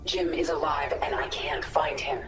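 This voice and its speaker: An actress playing a fierce, determined warrior queen in a video game cutscene, breathy, terse and commanding. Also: sits low, steady tone, moderate pace, soft background music. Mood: commanding, resolute. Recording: echoey, with loud score.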